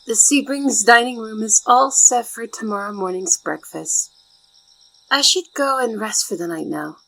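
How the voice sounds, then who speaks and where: A shy young maid, played by a woman in an intimate ASMR roleplay. Her voice is high and breathy with a creaky edge, and she speaks with devoted, formal politeness and flustered hesitation. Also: measured pace, a bit bright, heavily acted, poor audio. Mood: fond, musing. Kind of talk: soft ASMR roleplay acting.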